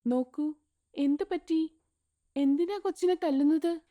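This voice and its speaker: A woman voicing a gentle, caring mother in an animated story, speaking softly. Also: sits high, unhurried, no echo, pitched above the performer's own voice. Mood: pleading. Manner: soft, pleading, gentle.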